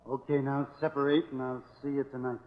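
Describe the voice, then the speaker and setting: A man's voice: mid-range voice, flat delivery, brisk, lightly acted. A man acting a cold, commanding gangster boss in a 1940s radio crime drama, with a curt, domineering delivery. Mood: cool, measured. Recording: old 1940s radio recording, muffled, hissy.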